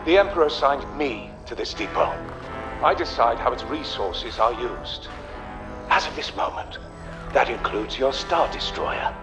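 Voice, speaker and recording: A man's voice: medium pitch, expressive, a little slow, music in the background. An actor playing a haughty male military officer in a video game, imperious, dismissive and sneering. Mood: imperious contempt. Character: haughty, territorial male Imperial colonel guarding his depot. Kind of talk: scripted video game villain acting.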